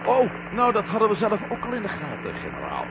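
An actor playing a brisk, confident, sardonic male astronaut commander in a science-fiction radio play. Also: high-pitched, huge pitch swings, heavily acted. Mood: wry, brisk. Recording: noisy muffled radio drama with hum.

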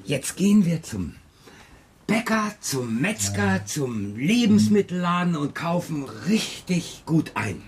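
Whimsical, slow-talking, animated dad. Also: male voice, high-pitched, a little hiss or hum, full character voice. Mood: cheerful scheming. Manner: whimsical wordplay, hesitant drawn-out phrasing. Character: playful, whimsical, evasive roaming writer father. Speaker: actor in a children's radio play. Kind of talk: German children's radio drama dialogue.

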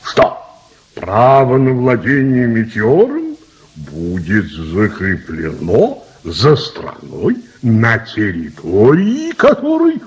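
A man's voice: lowish voice, huge pitch swings, slow, exaggerated voice. An actor playing a courteous, official conference chairman, formal and politely insistent, in a radio play. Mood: formal, assured.

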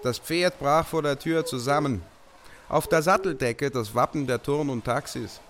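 A man's voice: on the high side, moderate pace, a bit bright, slight background noise, lightly acted. Boastful robber captain, played by a man, declaiming. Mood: smug, cold-blooded. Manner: declaiming, old-fashioned flowery diction. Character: boastful, callous male robber captain writing to his sweetheart. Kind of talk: radio play letter monologue.

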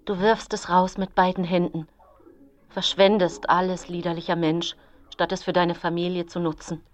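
An actress playing a proud, scornful wife quarrelling with accusing delivery in a historical radio crime drama. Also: female voice, fairly low pitch, flat delivery, moderate pace, close to an everyday voice. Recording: dull, narrow sound with faint music.

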